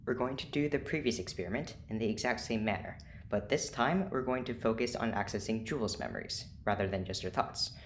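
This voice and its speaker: An actor playing a formal, clinical research doctor in an audio drama, speaking in a precise, measured way. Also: mid-range voice, a little hiss or hum, lightly acted. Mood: explaining. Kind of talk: scripted audio drama acting.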